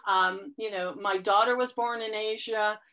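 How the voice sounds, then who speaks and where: Woman guest, bright and lively, a touch congested, an eager storyteller. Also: mid-range voice, a little slow. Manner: chatty, enthusiastic storyteller with frequent fillers. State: slightly stuffy from a cold. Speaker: interview guest, fitness client. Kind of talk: casual conversational chat.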